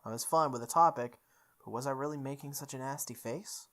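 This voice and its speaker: A man's voice: medium pitch, fairly quick, fairly bright tone, a little hiss or hum. A man hosting a playthrough, reading a visual novel aloud expressively, with casual asides and the odd stumble. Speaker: let's play host.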